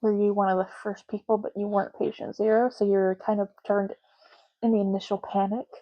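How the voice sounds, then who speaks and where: A soft-spoken woman doing an ASMR roleplay as a clerk, talking casually and matter-of-factly in unhurried short phrases with pauses. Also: medium pitch, level tone, muffled recording. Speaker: ASMR roleplay host, playing an intake clerk making ID cards.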